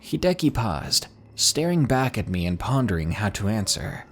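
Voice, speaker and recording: A man reading a ghost story aloud in a measured storytelling delivery. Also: lowish voice, big pitch swings, slight background noise. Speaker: narrator. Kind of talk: scripted horror story narration.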